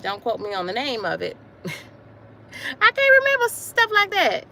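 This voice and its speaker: A woman in her forties chatting casually on a livestream while out walking, repeating herself warmly and calling her viewers y'all. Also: high-pitched, wildly swooping pitch, a little slow, vocal fry. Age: 40s. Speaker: livestream host. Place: outdoors on a walk, wind noise. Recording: faint wind noise.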